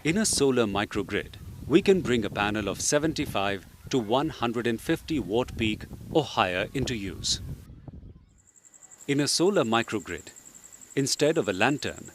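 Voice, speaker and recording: A man reading scripted instructional narration in a measured, explanatory way. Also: mid-range voice, a little hiss or hum. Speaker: training film narrator. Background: background music.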